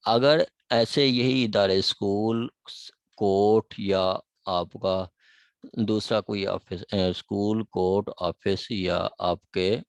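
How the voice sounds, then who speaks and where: Pakistani male law tutor, low, unhurried delivery, close dry sound, slow statute reading then explanation. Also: steady tone, no room sound. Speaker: law lecturer. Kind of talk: explaining a legal text.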